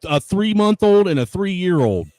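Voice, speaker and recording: A man's voice: high voice, sing-song, measured pace, a little mellow, no echo. A man in his forties hosting a live stream, ranting crudely and swearing constantly in casual chat. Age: 40s. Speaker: show host.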